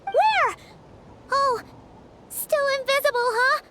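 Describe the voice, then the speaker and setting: A woman voicing a tiny, chirpy, childlike fairy companion, excitable and exclamatory, in a video game. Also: extremely high pitch, slow, heavily acted. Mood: puzzled, worried. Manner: refers to self in third person, exclamatory.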